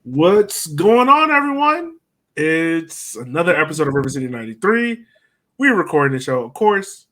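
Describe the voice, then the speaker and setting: A man's voice: fairly high pitch, sing-song, normal speed, a bit bright. A man hosting a casual sports podcast, chatting in a blunt, opinionated way. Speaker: podcast host.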